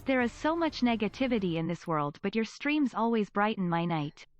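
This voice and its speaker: A text-to-speech female voice at a middling pitch, reading out viewer chat messages in a steady, machine-like cadence without much inflection. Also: moderate pace, a little hiss or hum. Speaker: text-to-speech donation reader.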